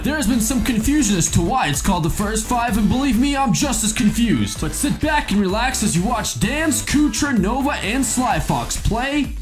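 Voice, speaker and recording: A young man reading scripted intros for a gaming video series, with dry, self-mocking jokes. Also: sits high. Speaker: series host.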